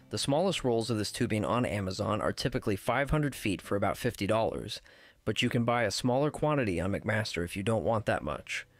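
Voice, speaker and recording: A man giving scripted voice-over narration for a technical how-to video, in a measured, precise, instructional way. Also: lowish voice. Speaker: tutorial narrator.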